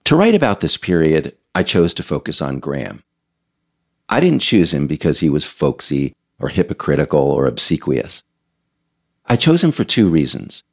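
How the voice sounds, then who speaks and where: Very deep-voiced man narrating, slightly gravelly. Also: wildly swooping pitch, normal speed, a little mellow. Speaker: host and narrator of a podcast series. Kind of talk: scripted narration, audio essay read aloud.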